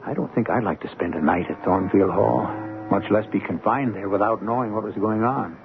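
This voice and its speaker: A man hosts a radio mystery drama, narrating in a measured, ominous, theatrical way. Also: on the low side, music in the background. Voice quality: gravelly. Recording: tinny band-limited 1970s radio broadcast.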